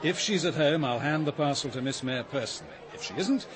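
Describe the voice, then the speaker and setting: An actor playing a reserved, dryly witty detective-poet, with an English accent, in a measured, understated radio drama style. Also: male voice, fairly high pitch, lively intonation, crowd noise, close to an everyday voice. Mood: wry. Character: reserved, dryly witty male detective who writes poetry. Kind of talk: British radio drama acting and reflective narration.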